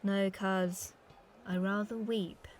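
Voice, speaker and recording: A woman's voice: mid-range voice, steady tone, slow pace, slight background noise, natural voice. A woman playing an earnest, peacemaking young noble in a Shakespearean audio drama. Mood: concerned. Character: Benvolio, concerned peacemaking young noble kinsman.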